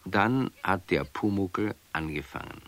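A man's voice: low voice, expressive, a little slow, gravelly, a bit bright. A man narrating a scripted children's radio story as a storyteller.

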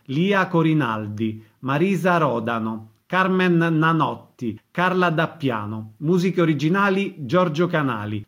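A man's voice: on the high side, fairly bright tone. A man hosting on radio, reading scripted announcements and introductions. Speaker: radio host.

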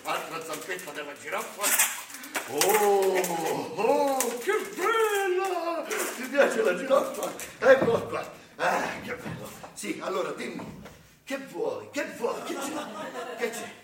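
A frazzled, short-tempered Santa Claus, as a man acts him out on stage, his voice high and sugary before it snaps into shouting. The sound is distant and roomy, caught from a live stage with a noisy background. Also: sing-song, slow, full character voice. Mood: coaxing. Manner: sugary coaxing that tips into shouting. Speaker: stage actor in a comic monologue. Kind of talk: live theatre comedy monologue. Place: theatre stage.

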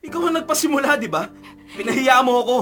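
A man's voice: very high-pitched, lively intonation, moderate pace, over music. An actor playing a cocky, teasing young male student in a Filipino radio drama, with an offhand, defensive manner. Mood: defiant. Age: young adult.

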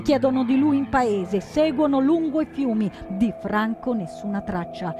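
An actress plays a young woman partisan, wounded, anguished and defiant. She delivers a theatrical monologue in short, deliberate, emphatic phrases at a medium pitch, with loud music running under the voice. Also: close to an everyday voice. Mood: agitated, angry. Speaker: stage actor. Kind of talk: dramatic first-person theatrical monologue over music.

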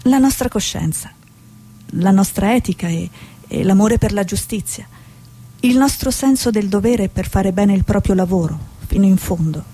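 An actress playing an earnest, idealistic female war reporter, speaking in a measured, reflective, theatrical way. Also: mid-range voice, warm tone, husky, close to an everyday voice. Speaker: stage actor. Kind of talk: stage drama, dialogue and reflective monologue.

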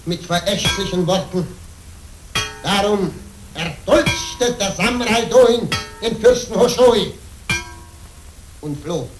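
Solemn old monk, echoing slowly. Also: male voice, high-pitched. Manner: ceremonious, speaks of himself in third person. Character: solemn old hermit monk, former samurai. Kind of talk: German radio play acting. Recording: roomy, distant old radio drama with faint music.

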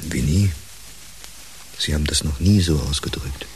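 A very deep-voiced gentle confidant. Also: male voice, a little slow, rough recording. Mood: attentive. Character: gentle, attentive male confidant with his own heartache. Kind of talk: German radio play dialogue. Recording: clear background hiss.

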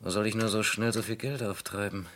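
An actor playing a calm, persistent investigator in a German radio crime play, questioning in a measured, polite and insistent way. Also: male voice, on the low side, a little hiss or hum, natural voice. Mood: urgent, polite.

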